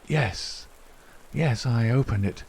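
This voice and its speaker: A man's voice: mid-range voice, a little mellow, husky. A man acting a feeble, gasping dying man. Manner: gasping, faltering, drawn-out. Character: feeble, suffering dying man, pleading and confused. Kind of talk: dramatised audiobook reading.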